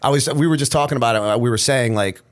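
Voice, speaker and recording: A man in his forties with a raspy voice, hosting a podcast in casual, laughing chat. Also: medium pitch, quick pace. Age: 40s. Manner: laughs often, chatty and friendly. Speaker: podcast host.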